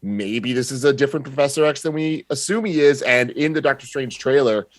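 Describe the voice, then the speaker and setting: A man's voice: mid-range voice, brisk. A man with a mid-range voice discusses pop culture as a guest on a podcast. He explains things with nerdy enthusiasm in casual language. The recording is dry and free of echo.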